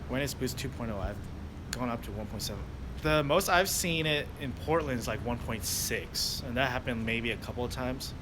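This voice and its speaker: A man's voice: mid-range voice, moderate pace. A young American man, a delivery driver, chatting loosely with livestream viewers, full of fillers and restarts. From United States. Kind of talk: casual livestream chat answering viewers. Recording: noisy outdoor street background. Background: traffic and street noise.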